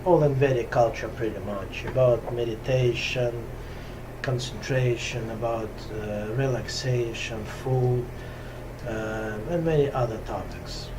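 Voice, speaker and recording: A man from Russia speaking English with a Russian accent, talking slowly and hesitantly with many pauses and fillers in a casual spiritual talk to camera. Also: mid-range voice, noisy background. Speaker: yoga teacher. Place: top-floor room at home on a hot day.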